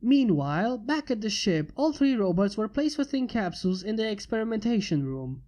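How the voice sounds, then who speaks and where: Woman reading fanfic out loud, soft mellow tone, steady even delivery. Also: mid-range voice, moderate pace. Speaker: fanfic reader. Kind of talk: reading a fan story aloud.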